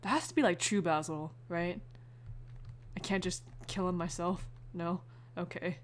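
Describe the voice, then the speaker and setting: A woman doing casual, expressive playthrough commentary as a gamer, often exclaiming as she reacts. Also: lowish voice, unhurried, a little hiss or hum. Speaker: gamer, let's play host.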